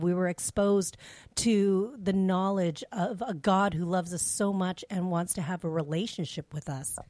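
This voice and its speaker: A woman's voice: lowish voice, dry recording. A middle-aged woman from Canada, born in Vietnam, hosting a faith show with a casual, earnest personal testimony spoken directly to listeners. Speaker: host of a faith radio show.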